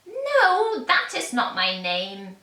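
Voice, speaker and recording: Lady doing a gleeful little goblin-like storybook man, loud and taunting, swoopy high voice, echoey. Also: huge pitch swings, slow pace, heavily acted. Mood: gloating. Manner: taunting, emphatic, singsong questions and refusals. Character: demanding, gleeful little mannikin man of fairy tale. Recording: echoey room, distant microphone.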